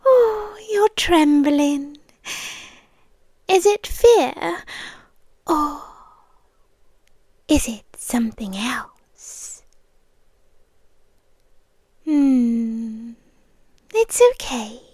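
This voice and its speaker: British woman doing a seductive yandere vampire gf asmr, high breathy voice, whispery, super close and dry, intimate. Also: darkish tone, no room sound. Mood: teasing. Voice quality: breathy, often dropping into whispers. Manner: slow, intimate, lingering delivery. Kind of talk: ASMR roleplay.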